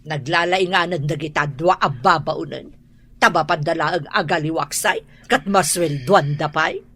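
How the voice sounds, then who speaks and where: A woman playing an ill-tempered, wealthy Ilocano madam in a radio drama, with shrill, indignant outbursts. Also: a little slow, a bit bright, breathy edge, heavily acted. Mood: worried, scheming. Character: ill-tempered, scolding wealthy madam, mistress of house servants. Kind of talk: Filipino radio drama acting.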